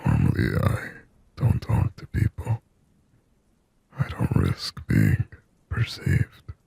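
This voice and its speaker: A man acts out a creepily tender male demon from an ASMR horror roleplay. The voice is extremely deep and full of creak, mostly sinking into a dark, breathy whisper. He speaks haltingly and intimately, possessive yet shy, in very dry close sound. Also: huge pitch swings, no echo, full character voice. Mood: shy, confiding. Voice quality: breathy, husky whisper with low gravelly creak. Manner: slow, halting, hushed, intimate.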